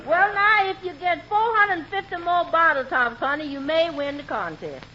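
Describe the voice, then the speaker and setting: A woman's voice: very high voice, lively intonation, moderate pace. A woman playing a giggly, deferential housemaid with a folksy drawl in an old radio comedy. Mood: teasing, chatty. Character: giggly folksy female housemaid friend, Geranium. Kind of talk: 1940s radio comedy acting. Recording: muffled noisy old radio, studio audience.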